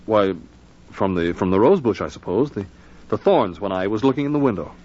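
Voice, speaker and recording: Low, halting, nervous radio suspect. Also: male voice, animated, a little mellow. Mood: nervous, evasive. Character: shaken, evasive husband feigning innocence, male. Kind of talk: old-time radio mystery acting. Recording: muffled old radio recording.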